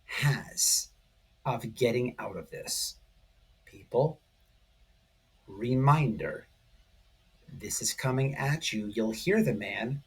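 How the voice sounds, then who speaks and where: A man giving casual, excitable online commentary, speaking emphatically to his viewers and repeating his points. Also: medium pitch, slightly rough. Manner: excitable, emphatic, repetitive, addressing viewers directly. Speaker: online commentary channel host. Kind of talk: casual, informal video commentary.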